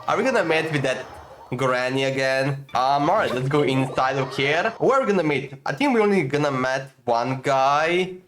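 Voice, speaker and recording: A young man casually commenting on a game he is playing, chatty and excitable with lots of fillers. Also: medium pitch, slight background noise. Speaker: gaming streamer. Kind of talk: casual let's-play commentary.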